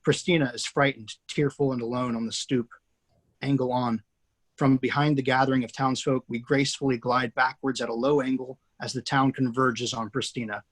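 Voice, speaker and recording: Guy reading screenplay directions on a video call, kinda husky, middle pitch, steady. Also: moderate pace. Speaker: screenwriter hosting the table read. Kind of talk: reading stage directions, then casual chat. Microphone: video call mic.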